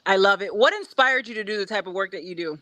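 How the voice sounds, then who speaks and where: A woman podcast host speaks at a middling pitch in a dry, close-sounding recording. She moves the interview along with short questions and encouraging remarks. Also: lively intonation, fast talker, sharp tone, no room sound, low-quality recording.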